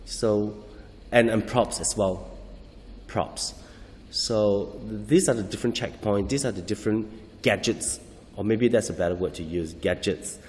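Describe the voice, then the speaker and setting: Male actor, low voice, hesitant restarts, long hall echo. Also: slight background noise. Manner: halting, searching for words, frequent restarts. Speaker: stage actor. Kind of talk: interview answers.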